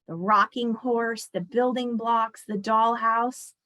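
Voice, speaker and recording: Female children's read-aloud narrator, fairly high voice, very measured pace, expressive with explanatory asides. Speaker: storyteller reading a picture book aloud to an audience.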